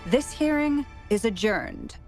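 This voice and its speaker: A woman's voice: fairly high pitch, animated, faint music behind. An actress playing a composed, authoritative female official who presides over a hearing in a video game. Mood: formal, stern. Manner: formal, measured, authoritative.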